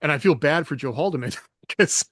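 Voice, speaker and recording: A man's voice: fairly high pitch, animated, brisk, a bit bright, dry recording. Reflective male podcast co-host, dry sound. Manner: reflective, hesitant, laughs often. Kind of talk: casual chat.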